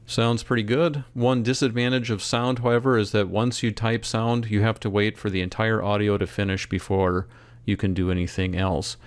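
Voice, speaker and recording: A man narrating a software tutorial as an instructor, in a measured, step-by-step way. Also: fairly low pitch, level tone, no room sound. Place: close, dry voice-over for screen recording.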